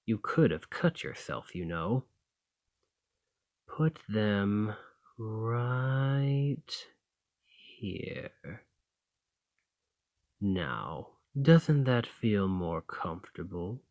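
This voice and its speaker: Man acting as a haughty low-voiced fantasy lord, slow-paced. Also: expressive, a little mellow, dry recording, full character voice. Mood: instructive, teasing. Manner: commanding, formal, condescending, teasing. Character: haughty, dominant fantasy thane testing a new servant. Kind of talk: ASMR roleplay acting, slow and intimate.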